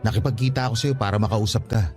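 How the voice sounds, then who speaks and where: A man's voice: on the low side, fast, darkish tone, close to an everyday voice. An actor with a Filipino accent playing a remorseful, estranged young father who earnestly begs for a second chance in a radio drama. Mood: earnest confession. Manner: earnest, imploring. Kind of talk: Tagalog radio drama acting. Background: faint background music.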